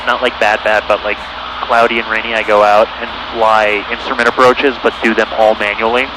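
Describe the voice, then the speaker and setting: A man's voice: on the low side, a little fast. Male pilot in a noisy cockpit. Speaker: private pilot showing a friend the flight. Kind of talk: casual cockpit chat and clipped radio calls. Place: inside a small plane in flight. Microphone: aviation headset. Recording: noisy light aircraft cockpit headset audio. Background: steady engine noise.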